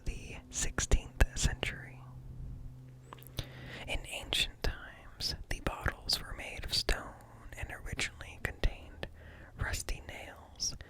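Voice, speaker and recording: A man reading aloud in a hushed ASMR whisper, close to the microphone, pausing between short phrases. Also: low-quality recording. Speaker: ASMR creator reading encyclopedia articles aloud. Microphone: close-up microphone with ear-to-ear stereo.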